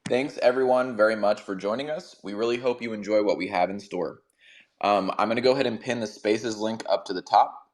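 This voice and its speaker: A man co-hosts a casual interview in a relaxed, friendly way, using fillers as he talks. His voice is a bit low, and he joins over a remote call. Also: fairly quick. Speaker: co-host.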